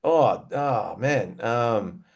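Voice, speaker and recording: An Australian man speaks at a middling pitch in a casual interview. He tells band stories in loose, slangy language, with a laid-back, enthusiastic feel of a rock musician among friends. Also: expressive, drawn-out words, gravelly, breathy edge. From Western Australia.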